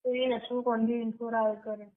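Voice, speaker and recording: A woman's voice: a little high, flat delivery, moderate pace. A woman, chatting casually. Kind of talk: casual phone chat. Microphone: phone line. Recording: narrow, tinny phone call recording.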